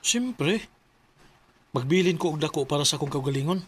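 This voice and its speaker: A Cebuano actor playing a self-centred, stubborn young boyfriend in a radio drama, with a casual, dismissive manner. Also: male voice, on the high side, lively intonation, normal speed, very noisy, lightly acted. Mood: blunt. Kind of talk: Filipino radio drama acting.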